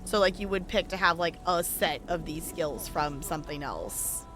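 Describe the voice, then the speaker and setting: Bright-voiced woman rambling on a game stream. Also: low-pitched, moderate pace, soft background music. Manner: rambling, hesitant, thinking aloud. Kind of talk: casual chat while livestreaming a game.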